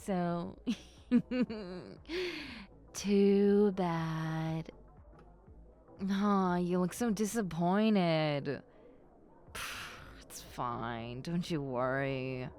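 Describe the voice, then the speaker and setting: A woman acts out a popular schoolgirl who has had a few drinks, in an intimate roleplay. Her voice sits low, and she drags her words out very slowly. She is coy and teasing, hesitant and prone to giggles. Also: a little mellow, faint music behind. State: acting drunk. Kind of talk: intimate roleplay monologue to the listener.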